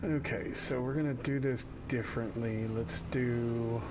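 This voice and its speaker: A man's voice: mid-range voice, steady tone, unhurried, music in the background. A man chatting casually as he streams a game. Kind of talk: casual chat while gaming. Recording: clearly noisy voice chat.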